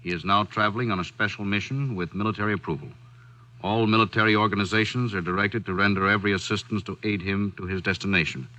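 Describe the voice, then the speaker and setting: An actor playing a stern, resolute commanding general in a 1940s radio drama, formal and measured in speech. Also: male voice, lowish voice, steady tone, bright, forceful tone, rough recording. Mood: official.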